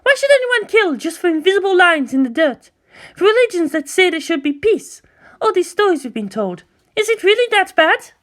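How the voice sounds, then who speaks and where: A woman's voice: very high-pitched, big pitch swings, fairly quick, a bit bright, voice pushed up, exaggerated voice. A woman voicing an innocent, earnest young girl in a storybook reading. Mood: troubled wonder.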